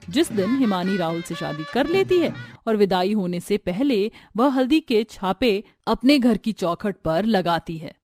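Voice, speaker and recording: A woman's voice: medium pitch, darkish tone. A woman reading scripted narration of a family drama as a storyteller.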